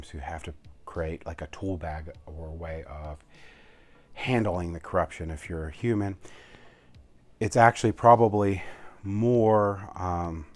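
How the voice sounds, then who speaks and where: A man giving a casual, unscripted game review walkthrough, halting and often correcting himself mid-sentence. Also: sits low.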